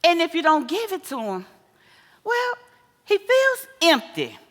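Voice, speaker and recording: A woman acting a sassy, scolding, wise older American mother in a stage play, with an animated, folksy, preachy delivery. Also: extremely high pitch, measured pace, heavily acted. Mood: earnest, lecturing. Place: live stage in a theater. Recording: echoey theater hall with long reverb.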